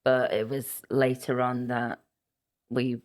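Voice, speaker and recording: Soft-spoken woman with a Welsh-tinged Liverpool accent. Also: very deep voice, flat delivery, slow pace. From Liverpool, England. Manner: soft-spoken, hesitant with many ums. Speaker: interview guest. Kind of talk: casual chat.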